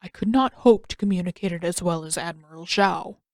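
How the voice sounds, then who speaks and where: A woman voicing a grave older uncle in an audio story, speaking in a calm, formal and measured manner. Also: fairly low pitch, no echo, studio quality, lightly acted. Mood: grave, steady.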